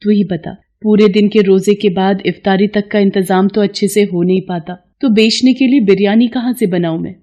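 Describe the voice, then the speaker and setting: A woman voicing a humble, poor widowed mother in a cartoon story, speaking gently, politely and plaintively. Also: mid-range voice, steady tone, normal speed, hardly any pauses, lightly acted. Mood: sorrowful. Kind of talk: cartoon moral story acting.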